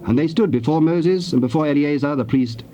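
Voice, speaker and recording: A man narrating scripture from a script in a measured, formal storytelling manner. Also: a little high, warm tone. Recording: dull old tape, faint noise.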